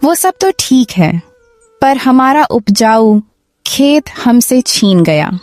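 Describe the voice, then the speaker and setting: A woman's voice: a little high, lively intonation, takes it slowly, darkish tone, dry recording, soft background music. A woman playing a poor farmer's worried, reproachful wife in a cartoon moral story, plaintive and questioning.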